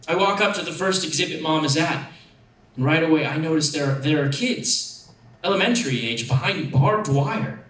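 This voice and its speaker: A man giving a dramatic first-person short story narration with expressive, deliberate phrasing. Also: on the high side. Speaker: actor reading a story. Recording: echoey room, distant mic.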